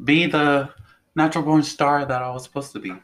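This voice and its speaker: A young man from New Orleans co-hosting a podcast, talking in a loud, animated, profane way. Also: fairly high pitch, a little fast. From New Orleans, Louisiana. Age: young adult. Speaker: podcast co-host. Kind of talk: casual chat.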